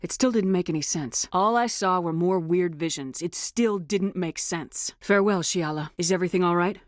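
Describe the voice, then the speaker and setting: A woman's voice: fairly low pitch, normal speed, no echo, clean studio sound, close to an everyday voice. An actress playing a tough, resolute woman starship commander in a video game, speaking bluntly and with authority. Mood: serious, probing. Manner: blunt, authoritative, clipped questioning.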